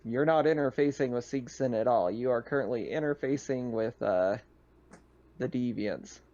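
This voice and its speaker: A man running a tabletop roleplaying game as game master, narrating casually in halting, improvised phrases. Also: medium pitch, darkish tone, lo-fi sound.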